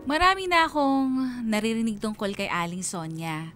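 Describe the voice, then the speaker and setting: A woman's voice: a little high, normal speed, fairly bright tone, soft background music, lightly acted. A woman playing an honest, hurt laundrywoman, narrating her story earnestly in a radio drama. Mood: disapproving.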